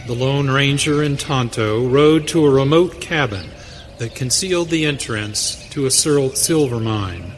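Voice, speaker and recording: A man delivers dramatic scripted narration for a western radio show. He speaks slowly and with measure at a medium pitch. Music plays clearly behind him. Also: lively intonation. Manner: measured, storytelling.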